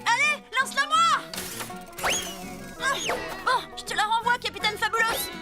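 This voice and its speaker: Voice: extremely high pitch, normal speed, over loud music. A performer voicing a confident, eager little boy pirate leader with a commanding, exclamatory manner in a French cartoon dub. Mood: excited.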